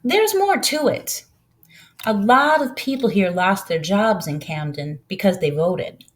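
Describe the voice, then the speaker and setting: A woman voicing a proud, gentle older woman who tells her story in an earnest, unhurried way, as in a storybook. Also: medium pitch, expressive, close to an everyday voice.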